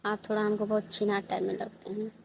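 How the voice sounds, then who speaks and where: A woman's voice: mid-range voice, monotone, quick pace, vocal fry, natural voice. A woman with an eastern Hindi-belt accent playing a coy, flirtatious married sister-in-law in a staged casual phone call. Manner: coy, complaining, colloquial. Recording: noisy phone-call style recording.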